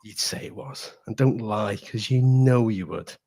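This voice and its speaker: Low-pitched man, emphatic football pundit. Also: measured pace, creaky, warm tone, slightly rough. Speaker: football podcast guest, fan pundit. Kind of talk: casual opinionated football chat.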